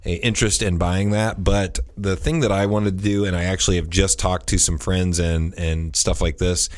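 A man's voice: deep voice, steady tone, normal speed. A thirty-year-old man, a podcast host, talking in a meandering, casual way with many restarts. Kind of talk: casual chat.

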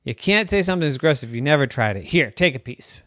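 A man's voice: mid-range voice, expressive, a bit bright, no room sound, studio quality, pitched above the performer's own voice, natural voice. A man voicing a sweet, sly female house cat with a sugary, coaxing manner. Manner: sugary, coaxing, drawn-out words.

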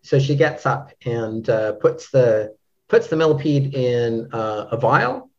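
A man's voice: mid-range voice, expressive, darkish tone. Hesitant man, slightly dull recording. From Canada. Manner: halting, searching for words, frequent hesitations. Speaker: literary translator, podcast guest. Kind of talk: thoughtful interview discussion of literature.

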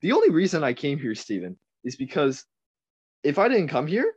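A young man from Taiwan, a podcast guest chatting casually, laid-back, laughing often and trailing off quietly. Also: on the high side, wildly swooping pitch, moderate pace, breathy edge, lo-fi sound. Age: young adult. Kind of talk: casual chat.

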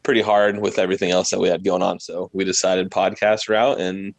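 A man's voice: low voice, level tone, fairly quick, dry recording. A young American man chatting casually as a podcast guest, rambling a little and self-deprecating. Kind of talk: casual chat.

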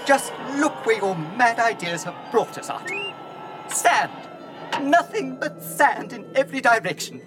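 A man's voice: extremely high pitch, big pitch swings, unhurried, music in the background, exaggerated voice. A man playing a fussy, anxious, self-pitying robot in a radio drama, prissy and theatrical in his complaints. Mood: indignant complaining. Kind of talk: dramatised radio science-fiction acting.

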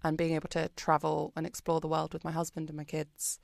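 A British woman being interviewed on a podcast, talking in a chatty, expressive way with plenty of ums and likes. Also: low voice, brisk, creaky, no echo, studio quality. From Britain. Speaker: podcast guest. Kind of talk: casual interview chat.

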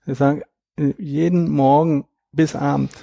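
Man, thirties, interview guest, husky, mellow, dry close sound. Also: fairly high pitch, flat delivery, no room sound, dull audio. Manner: reflective, measured, explains at length. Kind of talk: conversational interview.

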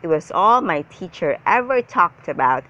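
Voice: medium pitch, very animated, normal speed, pushed and bright, dull audio. A reader voicing an earnest, chatty schoolgirl who talks emphatically and exaggerates, in a children's storybook. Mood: emphatic.

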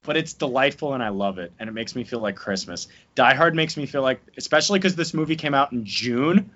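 New Jersey guy, podcast co-host, excitable, lively higher voice, dull lo-fi sound. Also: fairly quick. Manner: excitable, bursts into shouting. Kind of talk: casual banter.

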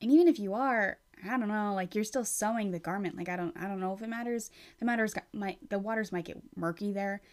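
A woman hosts her own podcast in loose, casual chat. She rambles, circles back and restarts her thoughts. She is frank and talkative, swears now and then, and her voice sits at a middling pitch. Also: fast talker, few pauses. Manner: rambling, with many fillers and restarts. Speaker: podcast host.

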